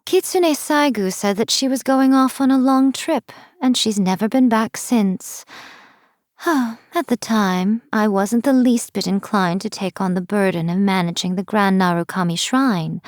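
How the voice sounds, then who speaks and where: A dry, close recording of a sly fox-spirit shrine maiden from a video game, acted by a woman. She speaks at a medium pitch with theatrical self-assurance. Her delivery is playful and haughty, always teasing. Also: no echo, natural voice. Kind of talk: scripted video game character voice lines.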